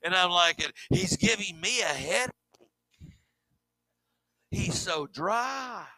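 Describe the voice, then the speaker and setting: A man preaching a sermon, describing his own voice as monotone, often repeating words as he restarts. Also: high-pitched, slow, vocal fry, no echo, poor audio. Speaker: pastor.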